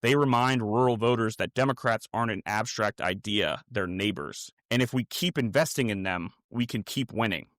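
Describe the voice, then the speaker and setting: Low-pitched American man reading political commentary. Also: normal speed, hardly any pauses, a bit bright, dry recording, clean studio sound. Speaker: host of a political commentary podcast. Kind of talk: scripted political commentary read aloud.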